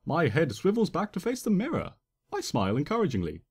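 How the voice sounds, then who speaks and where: A man's voice: a little high, huge pitch swings, fairly quick. A man reading a story dramatically in the first person, in a playful, theatrical trickster manner. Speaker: fan fiction reader and narrator. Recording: reverberant room with long echo.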